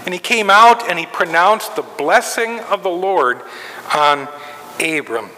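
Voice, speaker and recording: A middle-aged man preaching a sermon from a pulpit, measured and emphatic with long pauses, in a large wood-paneled church sanctuary. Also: sits high, animated, bright, forceful tone. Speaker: pastor. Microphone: far camera, pulpit mic. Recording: reverberant church hall.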